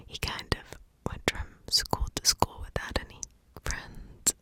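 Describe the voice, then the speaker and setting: Woman whispering slow ASMR storytelling. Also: no echo. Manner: hushed, unhurried, deliberate pauses between short phrases. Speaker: ASMR true crime storyteller.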